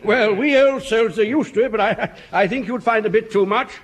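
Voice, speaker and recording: A man's voice: high-pitched, a little fast, slightly rough. An actor playing a jovial, boozy old army officer with an upper-class English accent, hearty and relishing his instructions in vintage radio sitcom style. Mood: tipsy relish. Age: older. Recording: noisy old radio recording.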